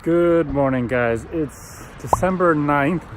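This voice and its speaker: A man's voice: a little high, slow pace, heavy background noise. A young man talking casually to the camera in a video diary. He is chatty and pokes fun at himself. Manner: chatty, self-deprecating, laughs at himself. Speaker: vlogger.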